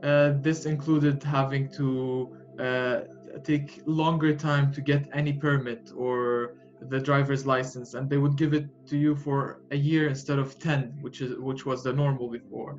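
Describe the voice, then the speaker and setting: A young man in his twenties with a Jordanian Arabic accent, telling his own story in an interview with frequent pauses, fillers and restarts. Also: mid-range voice, little pitch movement. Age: 20s. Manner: halting, with many fillers and restarts. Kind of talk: personal storytelling. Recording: muffled, with music behind.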